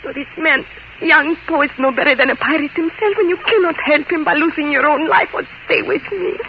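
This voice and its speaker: A woman's voice: sits high, brisk. An actress playing a passionate, desperate wife who implores her lover, in theatrical old-time radio melodrama style. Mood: desperate, pleading. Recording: tinny, noisy vintage radio recording.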